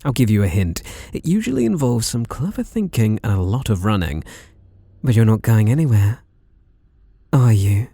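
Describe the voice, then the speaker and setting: A British man playing a possessive, flirtatious masked slasher in an intimate ASMR roleplay, teasing and softly menacing. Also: on the low side, big pitch swings, dry recording. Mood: gloating, playful threat. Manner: teasing, softly menacing, drawn-out delivery with sudden swoops.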